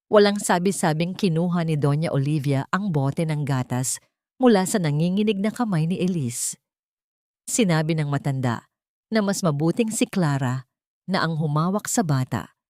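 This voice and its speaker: A woman's voice: on the low side, a little mellow, no room sound, clean studio sound. A woman reading a scripted, dramatic love story as its narrator.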